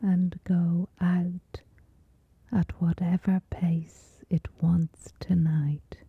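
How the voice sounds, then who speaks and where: A woman with a soft, whispery voice gently guides a scripted sleep meditation, soothing, with long pauses between short phrases. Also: fairly low pitch, little pitch movement, husky, no echo, narrow, tinny audio.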